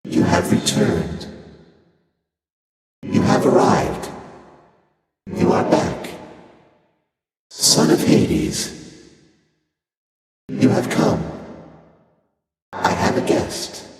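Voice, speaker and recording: An actor voicing an ancient, aloof primordial deity in a video game, with slow, solemn, formal phrasing and a hushed, breathy, otherworldly processed voice. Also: male voice, music in the background. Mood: detached, solemn. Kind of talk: scripted game voice lines, formal and archaic. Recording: long cavernous reverb, echoing.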